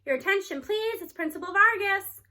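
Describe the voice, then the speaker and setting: A woman voicing a stern, stressed female school principal making an announcement in a children's storybook, slightly shrill. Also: lively intonation, moderate pace, pushed and bright, full character voice.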